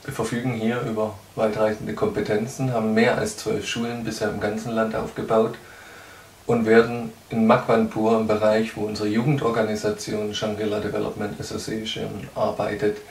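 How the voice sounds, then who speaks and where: In an echoey room, a little away from the microphone, a man in his thirties delivers a charity news update. His voice sits fairly low and he speaks as a spokesman presenting prepared information. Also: monotone, moderate pace, creaky, recorded from a distance, slight background noise. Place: small indoor room.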